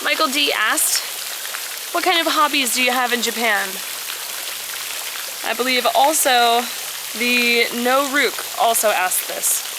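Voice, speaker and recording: A woman's voice: fairly high pitch, takes it slowly, long pauses, background noise. A young American woman chatting casually and reflectively with her viewers, answering their questions. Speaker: vlogger, preschool English teacher.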